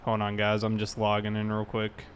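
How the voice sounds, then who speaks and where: A man's voice: lowish voice, completely flat delivery, moderate pace. A man chatting casually on a game livestream, greeting viewers in a friendly way. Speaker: live streamer playing a police roleplay game. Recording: clear background noise.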